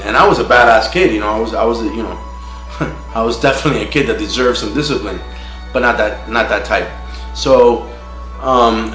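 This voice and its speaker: A man from Miami sharing his personal recovery testimony in a frank, halting way, with many "you know" fillers. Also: mid-range voice, a bit bright. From Miami, United States. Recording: roomy echo with faint music.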